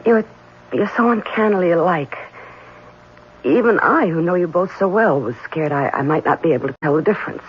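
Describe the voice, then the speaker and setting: A devoted but uneasy young bride-to-be acted by a woman in a vintage radio mystery. Her delivery swings widely, halting and melodramatic. The sound is dull old radio with a little background noise. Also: fairly low pitch, animated, close to an everyday voice. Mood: fearful, confiding. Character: anxious, devoted young fiancée, haunted by her lover's twin. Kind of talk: old-time radio drama acting. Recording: dull, faintly noisy old radio recording.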